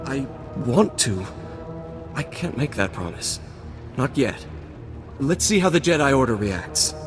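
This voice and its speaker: Middle-aged video game Jedi, stern, conflicted, weighty formal delivery, long hall reverb, music behind. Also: male voice, mid-range voice, big pitch swings, measured pace. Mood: softened, earnest. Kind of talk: dramatic video game acting.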